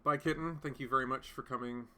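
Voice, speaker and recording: A man's voice: mid-range voice, steady tone, fast talker, pushed and bright. A man in his late thirties hosting a casual live chat from home, rambling with many fillers and restarts as he answers comments. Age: 30s. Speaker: livestream host.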